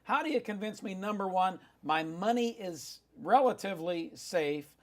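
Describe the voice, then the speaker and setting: Semi-retired male radio host, high-ish voice, brisk and pushy with questions. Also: lively intonation. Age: older. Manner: brisk, pushes guest with time limits. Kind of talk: talk radio interview.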